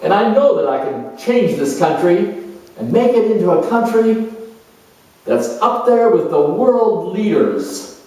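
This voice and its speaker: A man playing a proud, charming, opinionated politician in a dramatized monologue, musing in a self-assured way with halting, emphatic delivery. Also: sits high, animated, mellow. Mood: boastful, self-assured. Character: proud, charming, opinionated male career politician turned world judge. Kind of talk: dramatized first-person character monologue. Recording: roomy echo, distant microphone.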